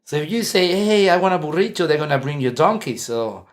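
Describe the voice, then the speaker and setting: A man's voice: fairly high pitch, lively intonation, fast. Mexican-accented man, chatty and laughing. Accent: Mexican Spanish. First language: Spanish. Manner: friendly, laughs often, rambling with restarts. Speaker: interview guest, martial arts instructor. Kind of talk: casual interview chat. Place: indoor room, seated.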